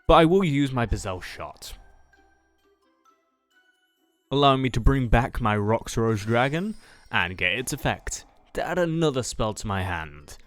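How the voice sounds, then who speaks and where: Man playing an easygoing card duelist, lively intonation. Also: medium pitch, moderate pace, no echo, soft background music, close to an everyday voice. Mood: sheepish, casual. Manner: thinking aloud with hesitant hums. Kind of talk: improvised anime-style roleplay dialogue, game commentary.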